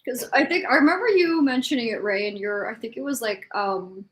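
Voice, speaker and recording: A woman's voice: a little high, fairly quick, a bit bright. A woman in casual chat, griping in an exasperated, self-mocking way. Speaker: participant in a group reading livestream.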